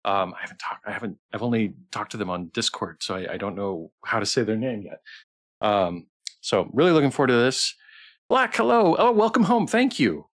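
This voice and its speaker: A man's voice: fairly low pitch, sing-song, brisk. A tired man hosting a game livestream, chatting casually with viewers in a hesitant, rambling way with repeated phrases and restarts. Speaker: livestream host playing a visual novel. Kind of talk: casual chat with stream viewers.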